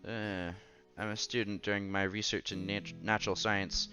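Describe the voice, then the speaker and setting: A man's voice: on the low side, level tone, normal speed, pushed and bright, soft background music. A man playing a modest, timid young science student with a hesitant, stammering delivery. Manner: hesitant, stammering, self-effacing.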